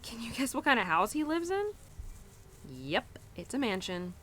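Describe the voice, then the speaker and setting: Woman as young cowgirl, independent and conflicted; animated, informal, intimate monologue for audio roleplay, some background noise. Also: a little high, moderate pace. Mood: fond, gossipy. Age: young adult. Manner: chatty, hesitant, informal, peppered with "like" and "you know". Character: young cowgirl venting to her horse, conflicted and stubbornly independent.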